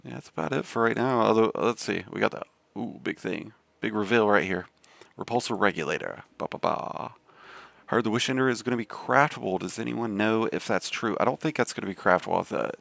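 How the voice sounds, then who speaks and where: Deep-voiced Southern man streaming. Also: creaky, a bit bright, dry recording. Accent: Southern American. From Southern United States. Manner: laid-back, rambling with many fillers. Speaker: game streamer. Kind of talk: casual live stream commentary.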